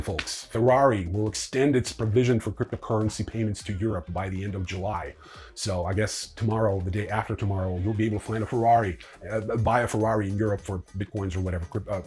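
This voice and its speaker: A man with a lowish, husky voice hosts a crypto news roundup. He reads headlines with lots of "uh" fillers and breaks off for dry, sarcastic remarks. The tone stays low-key and conversational. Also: a little mellow. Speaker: news host.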